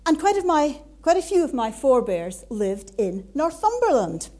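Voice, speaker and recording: A woman with a Scottish accent giving a sermon in an earnest, measured way. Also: sits high, big pitch swings, dark tone. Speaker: preacher.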